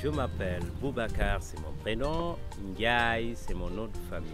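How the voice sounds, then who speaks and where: A man from Senegal who has lived in France for about twenty years, speaking calmly and reflectively about storytelling. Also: on the low side, normal speed, over music. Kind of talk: interview.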